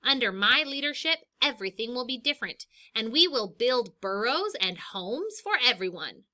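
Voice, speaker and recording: A woman voicing an eager male monkey candidate rallying the animals loudly in a storybook. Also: on the high side, big pitch swings, moderate pace. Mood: rousing. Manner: loud, eager campaign shouting. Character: excited rabble-rousing male monkey candidate.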